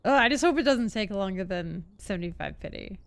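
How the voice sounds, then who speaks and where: A woman live streamer chatting casually and playfully over a game, sometimes reading dialogue aloud, with an expressive delivery. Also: mid-range voice, fairly quick, no room sound.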